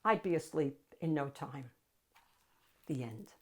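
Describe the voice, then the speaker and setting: A woman's voice: low-pitched, expressive, slow, a little mellow, breathy edge. A woman narrating a children's picture book expressively as its reader and host.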